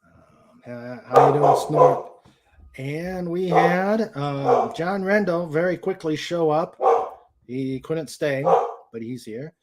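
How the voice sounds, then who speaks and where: Slow-talking man hosting, noisy background. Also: on the high side. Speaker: host. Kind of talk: casual livestream chat. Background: dog noises and clicks.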